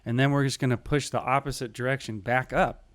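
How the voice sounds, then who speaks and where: A man's voice: mid-range voice, steady tone, brisk. A man narrating a casual how-to tutorial, explaining things step by step in an informal way. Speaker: audio tutorial presenter.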